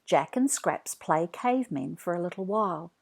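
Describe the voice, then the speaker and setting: A woman narrating a children's read-along story from a script, in an expressive storytelling manner. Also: on the low side, normal speed, vocal fry, slightly rough.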